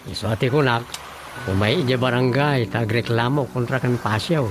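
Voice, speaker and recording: A man's voice: medium pitch, normal speed, natural voice. A man acting a flustered, gossipy village councilman in an Ilocano radio comedy-drama, exclaiming and hesitating conversationally. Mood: gossipy, exasperated. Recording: music bed under the dialogue. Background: background music.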